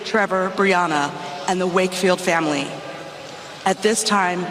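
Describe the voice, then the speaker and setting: A ballpark public address announcer speaking slowly and solemnly over the stadium speakers. The voice trails off in long reverb, with clear crowd murmur around it. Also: mid-range voice, background noise. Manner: slow, measured and solemn. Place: baseball stadium. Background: crowd ambience.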